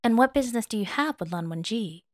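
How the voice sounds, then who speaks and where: A woman voicing a protective middle-aged female mentor in an audio story, firm, measured and caring. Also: on the high side, animated, no echo, clean studio sound, lightly acted. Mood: sharp, guarded.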